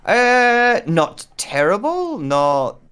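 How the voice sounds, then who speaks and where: A man's voice: high-pitched, very animated, very slow, fairly bright tone, clean tone. A man who works as a voice actor, chatting casually during a recording session in a self-effacing, apologetic way.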